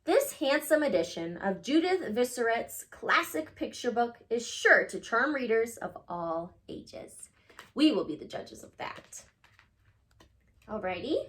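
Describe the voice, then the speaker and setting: Animated, high-voiced woman reading to children. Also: slight background noise, low-quality recording. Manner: animated, dramatic emphasis on key words. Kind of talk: expressive picture-book read-aloud for children.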